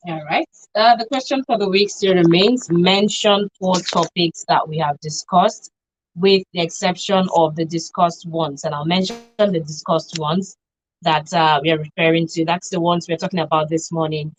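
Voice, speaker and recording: A woman's voice: lowish voice, steady tone, normal speed, a little hiss or hum, low-quality recording. A woman with a Nigerian accent hosting a radio talk show and moderating the discussion. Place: radio studio.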